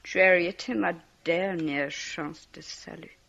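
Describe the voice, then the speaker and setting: A woman's voice: fairly low pitch, takes it slowly, gravelly, a bit bright, heavily acted. Wrecked, volatile young drunk woman for radio mystery; slurred, piercing voice, dull old recording. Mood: hysterical, despairing. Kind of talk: 1950s French radio mystery acting.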